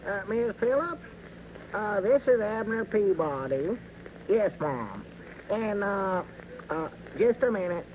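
A hissy, narrow 1940s radio recording features a high-pitched old Arkansas storekeeper. He is naive and querulous, a reluctant backwoods comic figure who gets muddled easily and speaks in folksy hill-country grammar. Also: very animated, slow pace, heavily acted. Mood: flustered. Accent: Arkansas hillbilly. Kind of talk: 1940s radio comedy acting.

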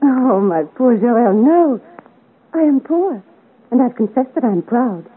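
A woman's voice: fairly high pitch, expressive, slow pace, husky, phone-quality sound, exaggerated voice. An actress playing a proud, devoted young noblewoman in a 1950s radio melodrama, speaking earnestly in formal, theatrical period style. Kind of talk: 1950 radio serial romantic melodrama acting. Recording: thin, noisy old 1950s radio recording.

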